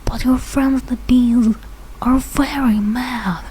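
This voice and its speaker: A woman reads a rhyming children's picture book aloud at an unhurried pace. Her voice is mellow and mid-pitched, and she goes phrase by phrase with deliberate pauses. There is faint noise behind her. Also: steady tone, rough recording. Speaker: read-aloud reader learning English. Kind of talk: slow read-aloud of a rhyming children's storybook.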